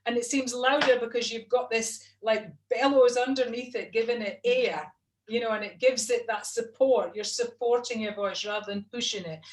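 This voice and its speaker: A woman's voice: fairly high pitch, normal speed. A middle-aged woman coaching singers over a video call, explaining technique in a chatty, instructive way. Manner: chatty and instructive, demonstrates hums. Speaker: vocal coach. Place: home room, video call. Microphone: video call webcam.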